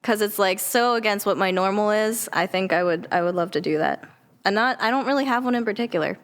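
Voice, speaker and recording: A young woman speaks as an actress guest in a casual conversation. Her voice is smooth and clean, sitting at a middle pitch for a woman. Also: fast. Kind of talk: casual chat.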